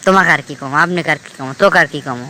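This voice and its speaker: Guy doing a fed up village buddy in a cartoon, north bangladesh accent, talks fast n scolds, slightly noisy audio. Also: on the high side, pushed and bright. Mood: exasperated. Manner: scolding, lecturing. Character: exasperated, scolding village friend of the troublemaker. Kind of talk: colloquial dialogue in a cartoon skit.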